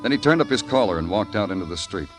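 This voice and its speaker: An American actor playing a world-weary, sardonic police detective in a radio noir, terse and brooding in dialogue and narration. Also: male voice, fairly low pitch, animated, quick pace, lightly acted. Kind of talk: noir scripted narration and 1950s radio acting. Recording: old radio recording with music behind.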